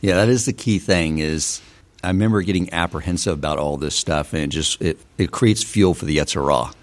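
The deep-pitched man at the host's microphone of an interview podcast, chatting with a guest. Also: fairly quick. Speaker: podcast host. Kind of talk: conversational interviewing.

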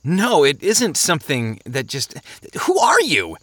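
A man's voice: fairly high pitch, big pitch swings, normal speed, pushed and bright, no echo, studio quality, pitched above the performer's own voice. A man voicing an earnest, anxious pilgrim in an audiobook, insistent and preachy as he pleads with others. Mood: concerned, baffled. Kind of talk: audiobook character voice.

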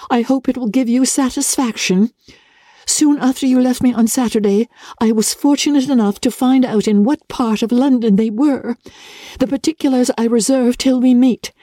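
An earnest, formal uncle of a period novel setting out family business, read by a woman. The tone is mellow and careful. The sound is dry and close, with a businesslike storybook delivery. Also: fairly high pitch, no room sound, natural voice. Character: earnest middle-class uncle reading out his letter.

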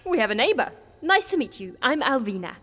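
A woman's voice: sits high, sing-song, brisk, pushed and bright, exaggerated voice. A woman playing a flippant, breezy captive adventurer in an audio drama. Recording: long echoing reverb.

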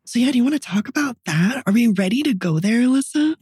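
A woman with a raspy voice, co-hosting a podcast and telling personal stories in a candid, reflective, conversational way. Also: a little high, lively intonation, quick pace, darkish tone, no echo, studio quality. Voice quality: raspy voice from partly paralyzed vocal cords. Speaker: podcast co-host. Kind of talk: personal storytelling in casual podcast chat.

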